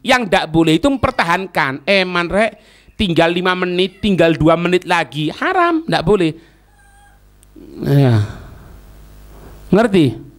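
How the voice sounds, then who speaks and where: A man's voice: sits high, sing-song, unhurried, pauses often. A man with a Javanese accent teaching a religious lesson, didactic, repeating phrases and checking that students follow. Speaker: Islamic teacher.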